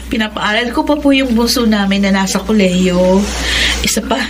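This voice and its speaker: A woman's voice: medium pitch, expressive, normal speed, close to an everyday voice. An actress playing a modest, respectful woman of thirty in a Filipino radio drama. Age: 30s. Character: polite, modest, dutiful thirty-year-old family friend. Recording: noisy radio drama with ambience.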